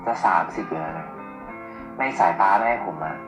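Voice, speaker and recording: A man's voice: extremely low pitch, racing, highs cut off. An actor playing a young man who is playfully teasing and caring, in TV drama dialogue. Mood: reflective, gentle. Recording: roomy, loud guitar music over dialogue.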